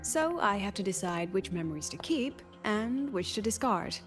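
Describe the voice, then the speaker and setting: A woman playing a cool, eccentric female academy president in a video game, composed and deliberate, speaking in clipped statements. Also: mid-range voice, big pitch swings, natural voice. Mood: composed, explanatory. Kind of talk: scripted video game voice acting. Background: game music under the voice.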